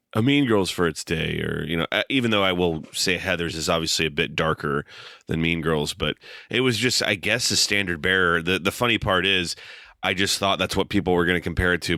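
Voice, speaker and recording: A man co-hosting a casual film review podcast, dry and measured with wry humor. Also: low-pitched, pushed and bright, dry recording. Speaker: podcast co-host.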